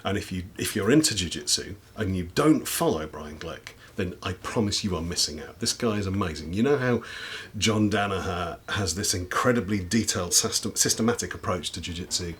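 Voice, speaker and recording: A man from the UK, a YouTuber, talking casually to camera in a slightly husky voice after losing it, rambling and self-deprecating with lots of ums. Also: low-pitched, lively intonation, fairly quick, a bit bright, slight background noise. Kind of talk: casual recommendation chat to camera. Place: indoors at home desk with big lights.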